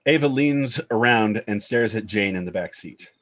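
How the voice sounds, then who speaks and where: A man's voice: on the low side, expressive, normal speed, fairly bright tone. A man giving scripted narration, reading out the scene directions of a screenplay at a table read.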